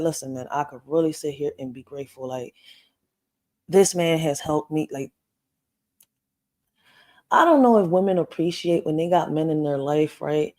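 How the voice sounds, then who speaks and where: A woman's voice: low-pitched, mellow. A woman from Puerto Rico talking straight to her viewers about parenting and family, emphatic, checking in often with "right?" and "you know". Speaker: parenting vlogger. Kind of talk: casual personal monologue to viewers.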